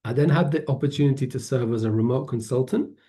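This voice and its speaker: A man, presenting an online webinar over a video call, often pausing with fillers and restarting his sentences. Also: mid-range voice, dark tone. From Poland, grew up in England. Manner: hesitant, many fillers and restarts.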